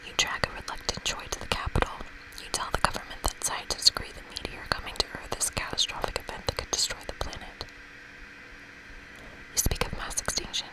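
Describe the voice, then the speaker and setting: A woman whispering as an ASMR host, reading a story game aloud. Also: poor audio.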